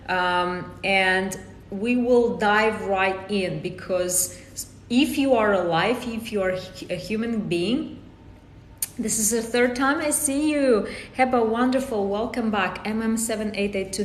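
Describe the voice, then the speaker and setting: A woman from Romania with a Romanian accent, a life coach hosting a live-streamed workshop, greeting viewers by name and often repeating or restarting her phrases. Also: a little slow. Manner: greets viewers by name and reads comments aloud. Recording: echoey room with faint rumble.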